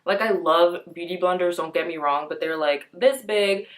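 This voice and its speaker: A woman's voice: on the low side, moderate pace. A young woman vlogging about beauty products, talking casually to camera and sounding nasal from a cold, with lots of "like" and "um". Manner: chatty, peppered with "like" and "um".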